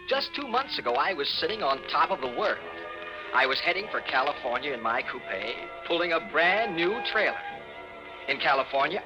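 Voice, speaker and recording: A man's voice: mid-range voice, lightly acted, rough recording. An actor playing a desperate young everyman, a man telling his own story dramatically in 1950s radio style. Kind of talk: 1950s radio narration acting. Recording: old 1950s radio recording.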